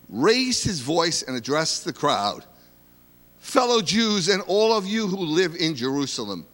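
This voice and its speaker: A man reading a Bible passage aloud in a measured, expressive way in a reverberant hall. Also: a little high. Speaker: scripture reader.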